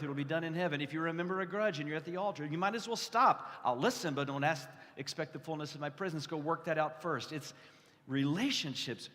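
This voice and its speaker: A man with a slightly high voice gives an earnest testimonial sermon. He talks conversationally, often restarting or repeating a phrase for weight. His words ring out with long reverb in a large hall. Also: fairly bright tone. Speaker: guest preacher. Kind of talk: testimonial sermon to a live gathering. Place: large indoor hall, addressing a gathering.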